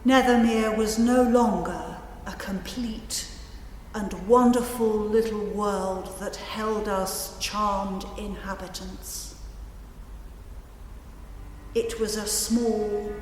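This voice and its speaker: Female narrator, extremely unhurried, deliberate literary delivery, reverberant hall acoustic. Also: medium pitch, steady tone, a little mellow, a little hiss or hum. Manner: measured, deliberate phrasing. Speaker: narrator reciting literary prose in a cantata. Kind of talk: slow dramatic literary recitation. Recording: reverberant hall, music behind.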